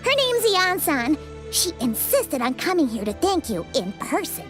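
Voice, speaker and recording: Very high-pitched childlike fairy sidekick. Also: female voice, measured pace, over music. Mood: cheerful, casual. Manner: chatty, expressive, refers to self by name. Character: small chirpy female fairy companion, childlike and cheeky. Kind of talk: video game voice acting.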